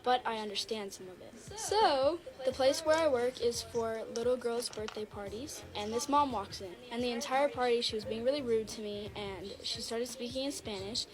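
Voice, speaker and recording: A woman's voice: on the high side, normal speed, few pauses. A woman who works at a party venue telling a story in an earnest, indignant way. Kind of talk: storytelling to camera.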